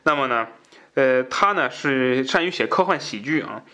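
A man's voice: mid-range voice, lively intonation, moderate pace, pushed and bright. A man hosts a podcast, chatting casually about books and explaining points conversationally, often repeating himself for emphasis. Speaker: podcast host. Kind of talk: casual book discussion.

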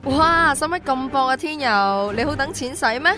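A woman's voice: high-pitched, animated, normal speed. A woman playing a wry, bossy chief who teases and scolds her young agent in a radio drama. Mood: wry, concerned. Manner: teasing, scolding, authoritative. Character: wry, bossy female police chief, older than staff. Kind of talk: Cantonese sci-fi radio drama dialogue. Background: music.

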